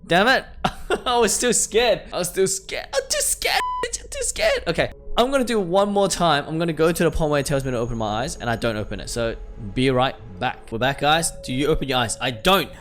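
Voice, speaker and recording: A man's voice: on the high side, fairly quick, few pauses. A man hosting a gaming video, reacting casually and with swearing as he reads a horror visual novel aloud. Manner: profane, expressive reactions. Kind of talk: casual let's play commentary mixed with reading game text aloud.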